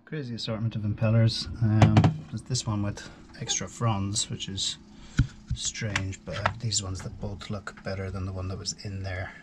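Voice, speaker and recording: A man's voice: fairly low pitch, level tone, normal speed, heavy background noise. A solo sailor, a man, explains his plans in a casual, methodical way, thinking aloud and often repeating words, from a small boat. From Ireland. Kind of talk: casual explanatory vlog narration. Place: small boat cabin and engine bay.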